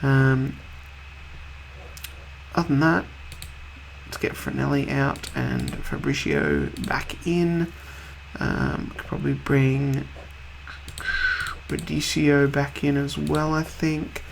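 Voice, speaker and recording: A man hosting a video game let's-play in a casual, rambling way, slightly husky from a cold. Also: mid-range voice, animated, slow, pauses often, slight background noise, rough recording. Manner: rambling, offhand, chatty.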